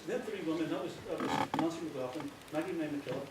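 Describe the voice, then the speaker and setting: A man with a Northern Irish accent giving an informal, earnest speech, often halting and repeating himself. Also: on the high side. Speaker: playwright giving a thank-you speech. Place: echoey indoor hall before an audience. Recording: very echoey, distant, noisy with clicks.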